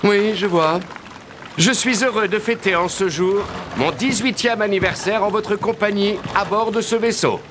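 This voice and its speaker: A man playing a hearty young prince in an animated fairy tale. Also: high-pitched, moderate pace, noisy background, lightly acted. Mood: exuberant. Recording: very loud music behind.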